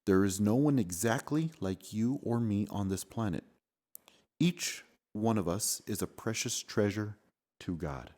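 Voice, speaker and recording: A man's voice: fairly low pitch, unhurried, dark tone. A man reading a storybook aloud and chatting with children as their father, with an encouraging, patient manner.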